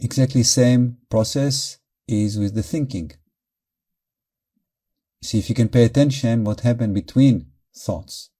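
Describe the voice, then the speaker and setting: Very mellow, soft-edged male meditation guide. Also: mid-range voice. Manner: calm, measured, short phrases with pauses. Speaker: meditation teacher.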